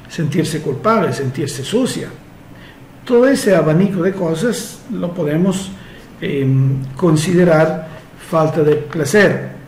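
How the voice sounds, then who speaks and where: A man who is not a native Spanish speaker, talking slowly and hesitantly as a therapist answering follower questions, with frequent fillers. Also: high voice, darkish tone, echoey room, slight background noise, rough recording. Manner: slow and measured, many hesitations and fillers. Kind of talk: casual solo Q&A monologue.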